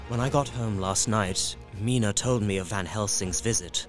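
An actor playing an earnest, newly resolute young husband, reading his journal in a measured, literary way for an audio drama. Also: on the low side, music in the background, natural voice. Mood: resolute, reflective.

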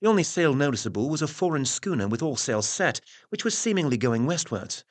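An actor playing a formal Victorian newspaper correspondent, narrating a report in a measured way for an audio drama. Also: male voice, on the high side, sing-song. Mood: grave, formal. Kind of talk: scripted period news narration.

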